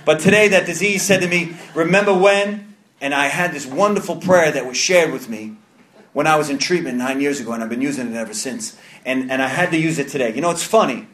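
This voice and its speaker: A man's voice: fairly high pitch, a little fast, fairly bright tone, echoey room. A man in his thirties with a New York accent, giving earnest personal testimony to a recovery group, often repeating and restarting phrases. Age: 30s. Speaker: recovery meeting speaker. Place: meeting room.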